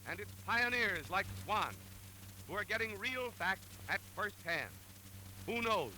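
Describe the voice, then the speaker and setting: A man's voice: sits high, bright, forceful tone, a little hiss or hum, low-quality recording. A man giving breezy, showman-like 1930s newsreel commentary, addressing the audience directly.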